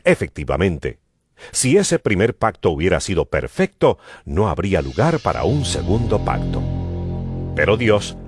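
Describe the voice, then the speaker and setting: A man's voice: low voice, big pitch swings, unhurried, slightly rough. A man narrating dramatized scripture in an emphatic, declamatory manner. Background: music.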